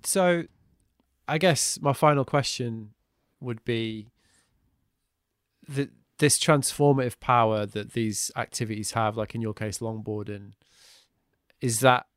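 A man hosting a podcast interview, talking in a thoughtful, halting way that often trails off. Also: medium pitch, steady tone, clear, resonant tone, no echo, studio quality. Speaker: podcast host. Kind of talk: reflective interview chat.

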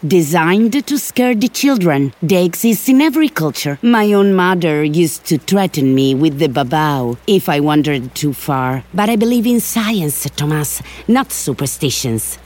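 A woman's voice: low voice, lively intonation, unhurried, hardly any pauses, a little mellow. An actress playing a determined woman scientist and explorer in an audio drama, urgent and insistent. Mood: dismissive. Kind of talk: immersive audio drama acting.

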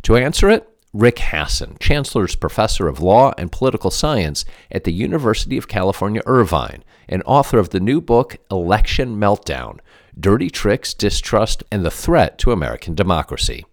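A man's voice: lowish voice, big pitch swings, normal speed, dry recording, clean studio sound. A man hosting an interview show, reading scripted introductions and putting questions to a guest. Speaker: interviewer and host.

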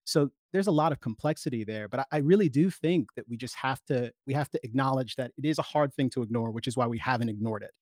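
A man speaking as an interview guest, making an explanatory argument in measured, articulate long sentences. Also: medium pitch, darkish tone, no echo, studio quality.

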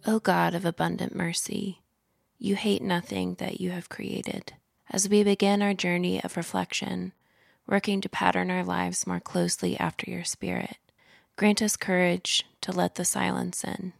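A woman reading a liturgical prayer in measured, solemn phrases. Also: on the low side, flat delivery, gravelly, no echo, clean studio sound. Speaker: prayer leader.

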